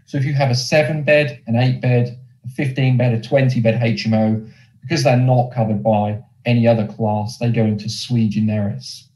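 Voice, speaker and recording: A man with a Norfolk English accent presents an explanatory webinar. His voice is mellow and rounded, at a middling pitch for a man. The room adds a clear echo around his words. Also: fairly even intonation, normal speed. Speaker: presenter, mortgage lender representative.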